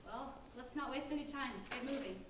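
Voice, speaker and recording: A woman playing a bossy, determined sixteen-year-old girl in a staged play reading, giving brisk orders. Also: high voice, lightly acted. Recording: roomy, distant, noisy stage recording.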